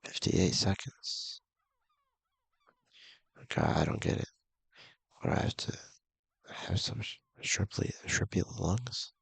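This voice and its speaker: A man with a gravelly, husky voice casually reading a visual novel aloud, halting and mumbling with offhand asides. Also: low-pitched, big pitch swings, no room sound. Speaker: video game streamer.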